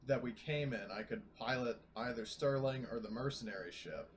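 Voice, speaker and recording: A man of medium pitch talks informally as a player in a roleplaying game. A faint layer of noise sits behind his voice. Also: normal speed. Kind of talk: casual game-table chat.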